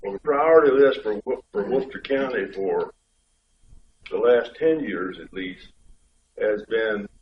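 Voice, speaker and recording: A man with a head cold, a state highway official explaining things step by step to a public board meeting. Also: animated, unhurried, a little hiss or hum. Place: community board meeting room. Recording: dull, echoey room pickup from far off.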